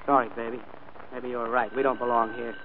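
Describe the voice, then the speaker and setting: An American actor playing a sly, cheeky manservant and scheming brother in a 1940s radio drama, slangy and mock-deferential. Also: male voice, mid-range voice, little pitch movement, a little fast, background noise, close to an everyday voice. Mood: defiant, resigned. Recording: tinny, band-limited 1940s radio broadcast.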